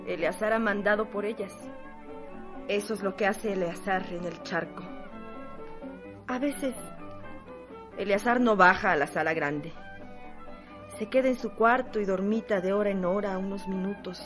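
An actress playing the narrator of a grim literary radio drama, with scripted delivery. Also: female voice, mid-range voice, measured pace, pauses often, close to an everyday voice. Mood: measured narrating. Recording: narration over string music.

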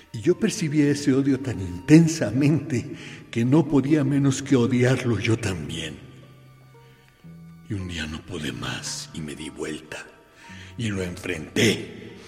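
An actor with a Uruguayan accent playing an earnest, intense boy, telling his story deliberately and emphatically in a radio play. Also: lowish voice, a little mellow. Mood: intense, resentful. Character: earnest, intense boy recounting small heartbreaks and grudges. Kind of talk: dramatic monologue in a radio play. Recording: long reverb, music bed underneath.

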